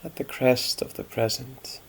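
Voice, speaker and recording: Slow, creaky-voiced male meditation guide. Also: medium pitch, low-quality recording. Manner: calm, unhurried, with long pauses. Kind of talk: guided meditation, unscripted instruction.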